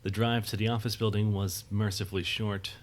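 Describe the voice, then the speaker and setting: A man's voice: fairly low pitch, flat delivery, fairly quick, a little hiss or hum. A man reading scripted narration for an audio drama in a storytelling style.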